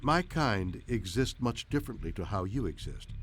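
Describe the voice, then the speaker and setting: An actor playing an ancient, enigmatic alien entity in a game, speaking calmly and formally in measured, cryptic lines. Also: male voice, lowish voice, faint music behind, close to an everyday voice. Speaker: voice pack character. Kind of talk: scripted game dialogue.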